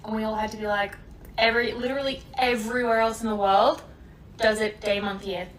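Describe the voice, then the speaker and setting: An Australian woman with a slightly high voice retells a frustrating night out, sounding exasperated. She is recorded from across an echoey room. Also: flat delivery, a little fast, distant mic, a little hiss or hum. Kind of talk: storytelling anecdote.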